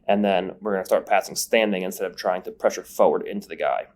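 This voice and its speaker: A man's voice: low voice, quick pace, a little mellow. A man giving step-by-step voice-over commentary as a grappling instructor, sniffling from a numbed nose. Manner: explanatory, step-by-step breakdown. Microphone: voice-over recorded separately.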